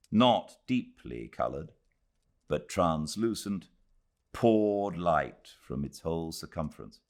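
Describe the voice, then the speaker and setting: A British man narrating a literary classic as an audiobook reader, with measured, dramatic phrasing and expressive delivery. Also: rumbling low. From Britain.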